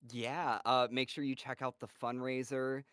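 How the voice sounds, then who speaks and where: A man's voice: medium pitch, flat delivery, fairly bright tone, clean tone, no echo, studio quality. A man hosting a live-stream Q&A, reading fan questions in an upbeat, casual way. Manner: upbeat, casual, quick to laugh. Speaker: host, moderator of the Q&A.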